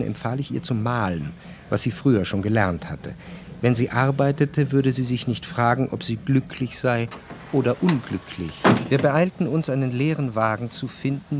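Casting: introspective male narrator played by an actor, mid-pitched, measured literary radio drama delivery, with music underneath. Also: natural voice. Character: introspective male narrator, jealous lover recalling his past.

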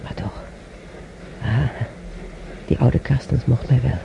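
Dull, faintly noisy recording of a radio play. a weary old man, very creaky and slow, broods over memories. He mutters haltingly to himself, sighing and dropping toward a whisper. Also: medium pitch. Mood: musing, resigned. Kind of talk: radio play monologue, musing to self.

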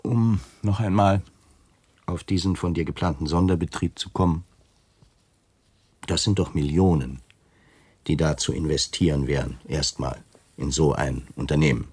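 Low, husky, pompous camp commandant. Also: male voice, moderate pace, pauses often, darkish tone, dry recording. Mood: wary, bargaining. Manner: solemn, pompous, hesitant. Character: stiff, self-important male concentration camp commandant, hesitant and wary. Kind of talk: German radio play acting.

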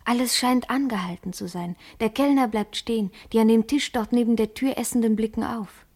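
An actress playing a guarded, self-conscious woman in a literary radio drama, speaking deliberately and poetically. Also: fairly high pitch, a little mellow, close to an everyday voice. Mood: watchful.

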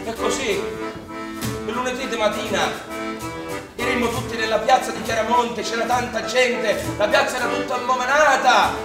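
An actor playing a young Sicilian peasant conscript, telling his life in an animated, declamatory stage monologue with a Sicilian accent. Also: very high-pitched, moderate pace, distant mic. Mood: rueful. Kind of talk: theatrical dialect monologue. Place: theatre stage. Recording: echoey theatre from afar, music underneath. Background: music.

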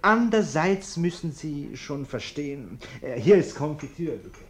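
A man's voice: fairly high pitch, very animated, measured pace. An actor playing a respectable, over-polite businessman who justifies himself and blusters, in a German radio play. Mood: ingratiating, uneasy. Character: respectable bourgeois businessman, ingratiating host, pompous and irascible.

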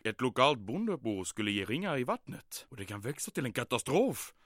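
An actor playing a vain, bombastic commandant in an old-time radio comedy drama, theatrical and easily flustered. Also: male voice, medium pitch, very animated, fairly bright tone, dry recording, clean studio sound. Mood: deflated, worried. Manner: pompous, theatrical, swinging between orders and self-pity.

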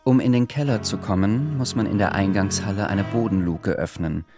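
A man narrating a scripted radio drama in a measured, literary storytelling manner. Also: fairly low pitch, over music.

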